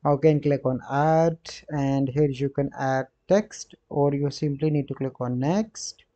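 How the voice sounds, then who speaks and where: Mellow man narrating a how-to, dull audio. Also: mid-range voice, measured pace. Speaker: tutorial host. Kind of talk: how-to screen-recording narration. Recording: dull, lo-fi voice-over.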